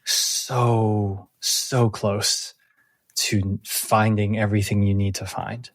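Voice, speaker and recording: A man's voice: fairly low pitch, flat delivery, slow pace, no echo. A man hosting a tabletop roleplaying game as game master. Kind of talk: tabletop game narration and casual recap.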